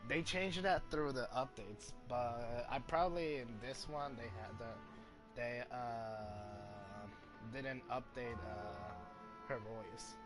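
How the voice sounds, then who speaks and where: A man doing casual livestream game commentary, explaining things in a hesitant, halting way and repeating himself. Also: mid-range voice. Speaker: game streamer. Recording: game music behind the voice.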